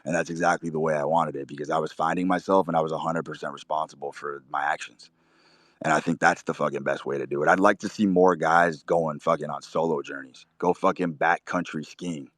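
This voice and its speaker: A man with a very low, deep voice delivers blunt, swear-filled life advice like a preacher. He pushes his points hard and cuts people off. The sound is dry and close, with no room around it. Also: steady tone, fairly quick, no echo. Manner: forceful, swears constantly, preachy. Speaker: show host. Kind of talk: blunt profane motivational talk.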